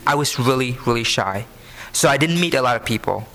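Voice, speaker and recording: A man in his early twenties with a Vietnamese accent, giving an earnest prepared speech to an audience, phrasing deliberately. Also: mid-range voice, expressive, sharp tone. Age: early 20s. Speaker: scholarship program student speaker. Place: live benefit event before an audience.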